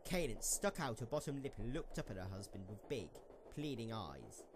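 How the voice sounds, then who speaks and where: A lightly noisy home recording of a man narrating a story. His pitch is middling and moves up and down in an animated way as he reads the scripted prose. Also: moderate pace. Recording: slightly noisy amateur microphone recording.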